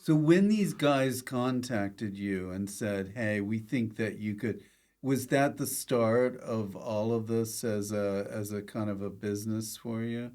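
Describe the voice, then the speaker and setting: A man's voice: fairly low pitch, unhurried, a little mellow. A man hosting a podcast, interviewing casually with a loose, dryly playful manner. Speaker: podcast host.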